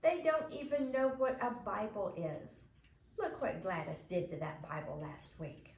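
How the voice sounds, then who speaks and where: A woman's voice: medium pitch, measured pace, darkish tone, natural voice. A woman voicing a prissy, indignant know-it-all girl who grumbles in a storybook reading. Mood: indignant, disdainful. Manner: indignant, complaining. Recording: echoey room with faint background noise.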